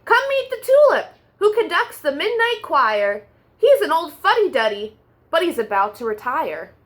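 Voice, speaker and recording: A woman voicing a small female field mouse with a squeaky, chirpy voice in a storybook reading. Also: sing-song, normal speed, fairly bright tone, full character voice.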